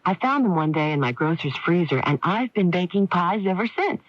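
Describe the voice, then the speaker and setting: An actress playing a modest, cheerful home baker giving a testimonial in a radio commercial. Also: female voice, fairly low pitch, lively intonation, fairly quick, dry recording. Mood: friendly, confiding. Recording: dull lo-fi radio broadcast, dry.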